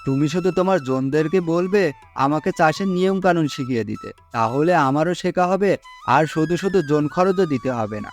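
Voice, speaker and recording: A man's voice: a little high, brisk, close to an everyday voice. A man playing a poor, trusting farmer in a cartoon folk tale, speaking earnestly and deferentially. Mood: doubtful, protesting. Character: poor, gullible, humble male farmer, trusting and hesitant. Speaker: cartoon voice actor. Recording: music under the voice.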